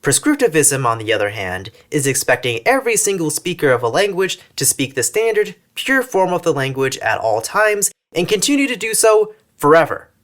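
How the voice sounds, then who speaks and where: Wry, animated Texan-raised man narrating. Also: on the high side, moderate pace, few pauses. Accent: mostly General American with slight Texan features. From northern Texas. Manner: wry, mildly sarcastic, emphatic. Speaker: language YouTuber. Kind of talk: scripted video essay narration.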